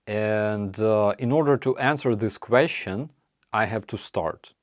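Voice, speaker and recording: A man with a Ukrainian accent hosting a casual live chat, answering viewer questions in halting, apologetic English with many restarts. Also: on the low side, no room sound, clean studio sound. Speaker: livestream host.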